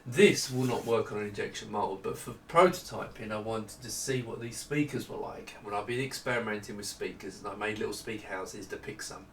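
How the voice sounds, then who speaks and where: A middle-aged man giving a casual, unscripted product walkthrough in a halting, conversational manner. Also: on the low side, fairly bright tone. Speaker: product designer presenting his monitors. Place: small home office indoors with studio lighting. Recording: echoey room, distant mic, faint noise.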